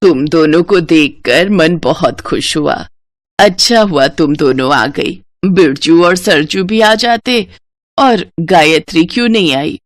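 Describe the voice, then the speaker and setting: A woman's voice: fairly low pitch, takes it slowly, no room sound. A woman voicing a kind, motherly elderly village woman in a moral story cartoon. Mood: welcoming. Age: older. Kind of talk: animated moral story dialogue.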